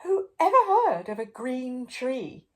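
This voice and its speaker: A grand, excitable king from a children's storybook, acted out by a woman reader. He proclaims pompously in a raised, very animated voice, stretching his words out for ceremony. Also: sits high, slow pace, voice pushed up, heavily acted. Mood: astonished.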